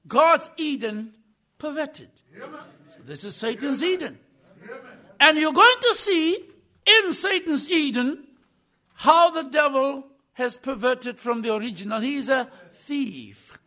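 High, bright-voiced preacher man. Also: very animated, slow, long pauses. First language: not English. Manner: emphatic, swings between loud bursts and quiet asides. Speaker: visiting preacher. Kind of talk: sermon.

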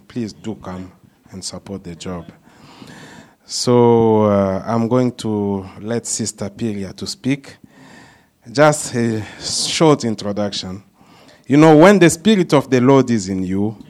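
A man's voice: fairly low pitch, darkish tone. A man leading part of a church service, speaking informally with frequent "you know" and restarts as he introduces a speaker.

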